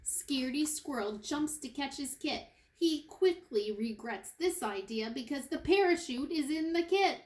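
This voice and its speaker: Slow, high-voiced woman reading to children. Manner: expressive, deliberate phrasing for young children. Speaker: kindergarten teacher reading aloud. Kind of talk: children's storybook read-aloud. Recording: echoey room with faint noise.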